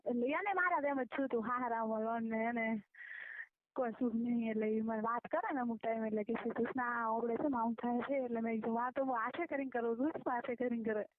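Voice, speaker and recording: A woman's voice: a little high, fairly quick, no echo. A young woman with a rural Gujarati accent gossips casually in a private call, chattily asking questions and retelling conversations. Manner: chatty, questioning, recounts conversations in quoted back-and-forth. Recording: muffled, band-limited phone call recording.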